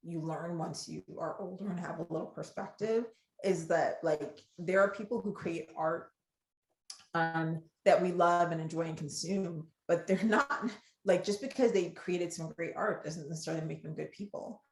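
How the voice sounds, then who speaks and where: A woman with a Ghanaian accent, a guest author talking casually on a podcast, animated and rambling with quick asides. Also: fairly low pitch. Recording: roomy echo.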